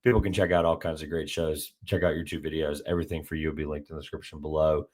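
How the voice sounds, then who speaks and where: A man hosting a casual sports podcast, his voice a little raspy from days of talking, rambling with many fillers and restarts. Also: extremely low pitch, level tone, quick pace, darkish tone. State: slightly raspy from heavy talking. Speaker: podcast host.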